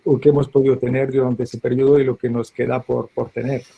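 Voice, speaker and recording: A man from France speaking Spanish with a French accent, introducing himself as a company founder on a webinar panel, polite and a little halting. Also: mid-range voice, flat delivery, creaky, muffled recording, a little hiss or hum.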